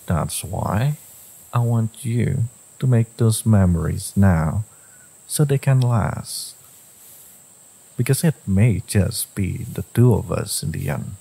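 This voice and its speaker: A man performs as a dark, devoted villain in an intimate romance roleplay. His low voice is mellow yet very breathy and husky. He speaks with deliberate, possessive intensity. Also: exaggerated voice, poor audio. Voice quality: husky, gravelly. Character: brooding, devoted, possessive male villain lover. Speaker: audio roleplay voice actor. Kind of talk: dramatic romance audio roleplay monologue.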